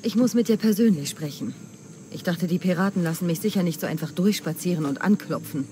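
A woman's voice: on the low side, a little fast, warm tone, slight background noise, lightly acted. A woman voicing a determined young mercenary in a video game, earnest and direct. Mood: direct, resolute. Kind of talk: video game cutscene dialogue. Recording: clear outdoor ambience behind.

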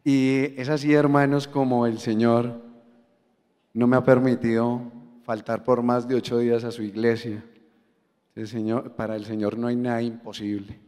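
A man's voice: medium pitch, level tone, normal speed, darkish tone, smooth. A man giving a religious testimony to a congregation as a church member. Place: large church hall. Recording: echoing large hall.

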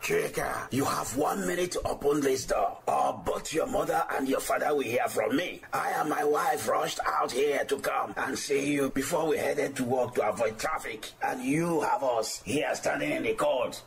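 A stern, impatient uncle with a Nigerian accent, acted by a man. He scolds and commands in a gravelly voice in a radio drama. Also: mid-range voice, moderate pace, hardly any pauses, background noise, natural voice. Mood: stern, threatening.

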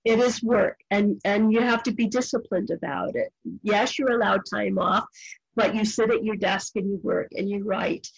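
An older woman talks as an author being interviewed. She is reflective and measured, stating views firmly in a middle-pitched voice. The sound is dry. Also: fairly even intonation, gravelly, breathy edge, dry recording, dull audio. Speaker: guest novelist.

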